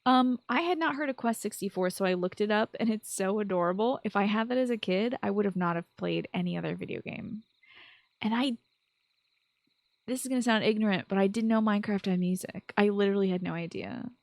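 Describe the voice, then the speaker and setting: A woman's voice: medium pitch, a little fast, no room sound. A woman hosting a video game podcast, speaking in an informal, enthusiastic way that mixes chat with scripted commentary. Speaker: podcast host.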